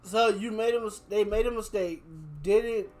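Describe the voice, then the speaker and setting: A young man chatting casually as a podcast host, telling anecdotes in a slangy, animated way. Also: sits high, normal speed, faint music behind. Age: young adult. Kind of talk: casual chat, storytelling.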